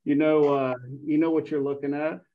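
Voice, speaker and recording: A man's voice: a little high, flat delivery, moderate pace, a little hiss or hum. Rambling man on a dull, lo-fi line. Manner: rambling, with many fillers. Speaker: guest. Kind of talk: casual sports chat.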